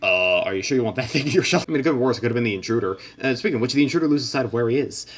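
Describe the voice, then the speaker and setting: Male host for casual game commentary: quick, rambling, loose delivery with deadpan asides. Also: mid-range voice, slightly rough. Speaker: host narrating a simulation.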